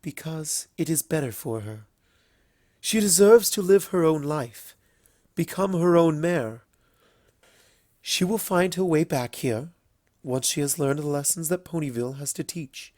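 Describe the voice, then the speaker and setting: A man voicing a wise, fond and teasing elder pony princess in a storybook reading, gentle and knowing. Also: fairly high pitch, lively intonation, a little slow, no echo, studio quality. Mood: gentle, assured.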